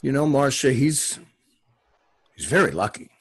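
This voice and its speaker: A man playing an earnest, fatherly man, expressive and rough-voiced. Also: mid-range voice, no echo, heavily acted. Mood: gentle. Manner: earnest, halting, emphatic. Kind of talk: dramatic table read.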